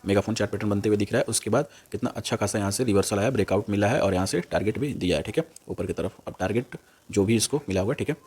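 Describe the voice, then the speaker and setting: A young man giving an informal, unscripted tutorial, repeating himself and often tagging phrases with a quick check word. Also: lowish voice, a little mellow, breathy edge. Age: young adult. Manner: repetitive, with a frequent "okay" tag after phrases. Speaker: stock trading tutor on YouTube.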